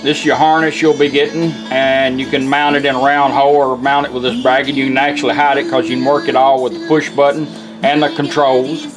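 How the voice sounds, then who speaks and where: A man's voice: medium pitch, level tone, fairly quick, music in the background, poor audio. A man giving an informal, folksy product demonstration, explaining how things work as he goes. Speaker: radio restorer demonstrating a product.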